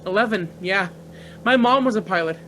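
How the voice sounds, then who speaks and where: An actor playing an eager, carefree young student pilot in a naturalistic radio play, boyish, slangy and enthusiastic. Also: male voice, sits high, moderate pace, bright, forceful tone, slight background noise, poor audio. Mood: carefree, excited. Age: young adult.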